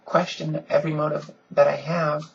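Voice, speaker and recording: A woman with a deep voice she softens a little, talking earnestly and casually in a home vlog with lots of ums. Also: level tone, moderate pace, gravelly, muffled recording, a little hiss or hum. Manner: frequent "um"s, earnest and conversational. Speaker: vlogger. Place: at home, in pajamas.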